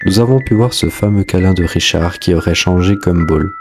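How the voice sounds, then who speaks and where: Man, very low pitch, scripted explainer narration, video host, clear background music. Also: moderate pace.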